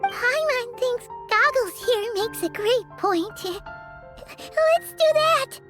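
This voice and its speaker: Squeaky childlike fairy sidekick, very high-pitched, unhurried, earnest, video game cutscene. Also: female voice, lively intonation, full character voice. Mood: cheerful, reassuring. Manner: refers to self in third person, earnest. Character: small childlike fairy companion, chirpy, loyal, talks in third person. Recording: music behind.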